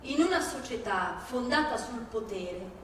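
Echoey, high-pitched woman reading dramatically. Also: lively intonation, a little slow, fairly bright tone. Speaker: stage reader. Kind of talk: theatrical dramatic reading with music concert. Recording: very echoey, distant, clearly noisy live recording.

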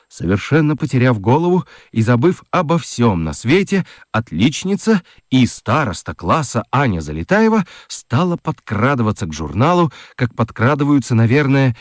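A man narrating a children's radio play as a dramatic storyteller, with expressive, deliberate literary phrasing. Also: medium pitch, no room sound, clean studio sound. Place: dry studio.

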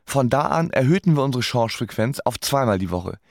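A man's voice: medium pitch, lively intonation, brisk, no echo, clean studio sound, close to an everyday voice. A man playing a wry, resigned musician who narrates his life laconically in a radio play.

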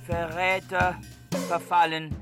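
An actor playing a fanatical bookish man who proclaims himself supreme warlord in a radio play, solemn and commanding in halting phrases. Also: sits high, little pitch movement. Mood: pompous, commanding. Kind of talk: radio play, declaimed manifesto. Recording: loud music over the voice. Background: loud music with drums and guitar.

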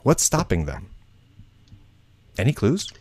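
A man's voice: medium pitch, huge pitch swings, slow pace, a little mellow, no room sound. A man hosting a casual roundtable, asking questions animatedly and repeating words as he goes. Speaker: podcast host.

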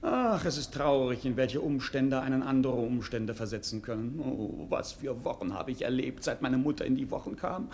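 An actor playing an impudent, gluttonous court fool in a comic radio play, clownish and full of sighs and exclamations. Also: male voice, medium pitch, moderate pace, few pauses, a little mellow, a little hiss or hum, natural voice. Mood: mock lament. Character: cheeky, gluttonous male court fool, wily and irreverent.

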